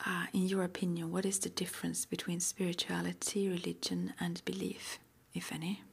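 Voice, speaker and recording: Whispering woman with a creaky edge. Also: on the low side, flat delivery, moderate pace. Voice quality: breathy, mostly unvoiced whisper. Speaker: ASMR roleplay performer as witch school interviewer. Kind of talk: whispered ASMR roleplay interview.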